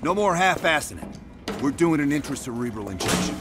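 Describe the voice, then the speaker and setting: A man's voice: fairly high pitch, big pitch swings, fairly quick, over music. An actor playing a hard-edged, middle-aged American CIA operative in a video game, terse and intense as he gives orders. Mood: stern. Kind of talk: video game cutscene acting.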